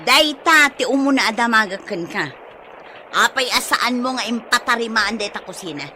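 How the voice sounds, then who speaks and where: A woman's voice: on the high side, lively intonation, moderate pace, bright, forceful tone, a little hiss or hum. A woman with an Ilocano accent playing a stern, suspicious wife who fires pointed questions in a comedic radio drama. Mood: accusing, angry. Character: stern, suspicious wife home from working away.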